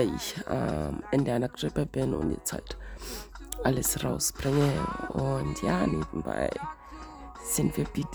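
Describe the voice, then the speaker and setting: A woman with a cold talks slowly in a casual spiritual vlog, her voice stuffy and wheezing. Her tone sits a little low and turns gravelly and fried, as she searches for words in an unhurried, reflective way. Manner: slow, searching, reflective musing. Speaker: vlogger.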